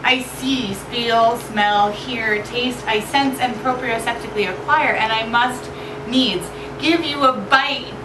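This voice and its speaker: A woman poet giving an expressive live poetry reading at an evening event. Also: takes it slowly. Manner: expressive, shifting pitch and pace for effect. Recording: roomy echo from far off with noise.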